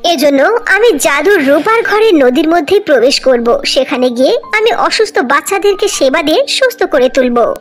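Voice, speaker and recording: Woman acting a very high-pitched, pleading young orphan girl. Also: lively intonation, normal speed, soft background music. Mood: humble, resolute. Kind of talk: dramatized cartoon fairy tale dialogue.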